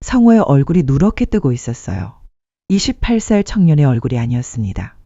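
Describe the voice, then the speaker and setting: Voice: medium pitch, very animated, brisk, dark tone, dry recording, highs cut off. A narrator telling a scripted dramatic life story in an earnest way, posing rhetorical questions.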